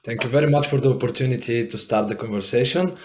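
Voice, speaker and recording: A man from Bulgaria gives a friendly self-introduction as a first-year student. His voice sits at a middle pitch, and he often pauses with "uh". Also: a little hiss or hum. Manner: friendly, with frequent "uh" fillers.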